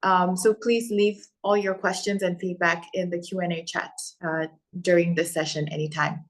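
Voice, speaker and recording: A woman in her forties, born in Korea and raised in Hong Kong, Thailand and Singapore, hosting an education webinar in a steady, organized way with frequent "uh" and "um" fillers. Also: fairly low pitch, normal speed. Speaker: webinar host and education center founder. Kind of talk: presenting a live webinar.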